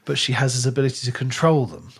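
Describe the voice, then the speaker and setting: A man's voice: medium pitch, expressive, fast, gravelly, husky. A man co-hosting a casual book discussion podcast, speaking in an emphatic, analytical way. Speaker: podcast host.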